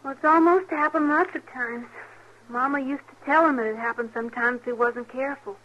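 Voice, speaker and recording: A woman's voice: high-pitched, a little fast, fairly bright tone. A woman playing an inquisitive, plain-spoken little frontier girl in an old-time radio western, with a persistent, childlike manner. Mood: matter-of-fact. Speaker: radio drama actor. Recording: muffled old radio recording.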